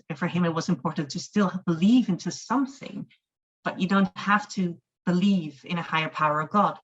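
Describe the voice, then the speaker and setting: A woman's voice: deep voice, a bit bright. A Dutch-born humanist chaplain with a mixed, Irish-sounding accent, explaining her field earnestly in a webinar interview, with frequent ums and restarts. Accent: mixed Dutch, with an Irish-sounding lilt. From Netherlands. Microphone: video call. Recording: dull lo-fi video call audio.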